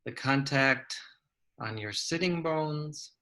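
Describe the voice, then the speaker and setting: A man leading a guided meditation, giving gentle, measured instructions with long pauses between phrases. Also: on the high side.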